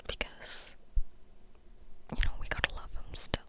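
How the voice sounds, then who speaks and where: A woman whispering breathily in a playful, mock-serious ASMR monologue, casual and rambling, often checking in with the listener. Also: unhurried. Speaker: ASMR video host.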